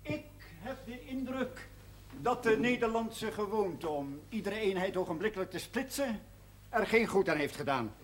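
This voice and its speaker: An earnest, persistent schoolmaster in a television period drama. His voice is high and his delivery deliberate and formal. Faint noise sits behind the old soundtrack. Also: animated.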